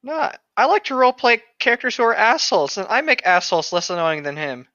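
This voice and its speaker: A woman with a bright, lively voice that swings around in pitch, recorded close and dry. She banters playfully and crudely as a podcast co-host, slipping into reading aloud. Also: quick pace, dry recording, highs cut off. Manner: playful, crude, sweary joking. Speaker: co-host of a comedic read-along podcast.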